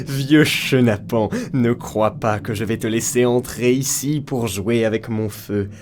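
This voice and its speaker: A man's voice: medium pitch, slow, background noise, close to an everyday voice. A man playing a vindictive, mocking devil in a French audio drama. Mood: mocking menace. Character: gloating, vindictive male devil guarding hell.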